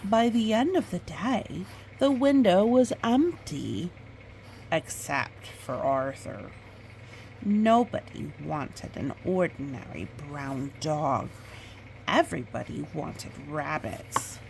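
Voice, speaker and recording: A woman reading a picture book aloud in storytime style, with expressive, drawn-out dramatic phrasing and chatty asides. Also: deep voice, gravelly, a little hiss or hum, poor audio.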